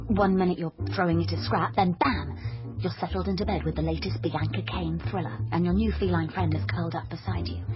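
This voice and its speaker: A woman's voice: fairly low pitch, lo-fi sound, lightly acted. An actress playing a naive young woman who dreams of being a noir detective, earnest, awkward and self-deprecating in a radio drama. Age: young adult. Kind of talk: radio drama acting and confiding narration.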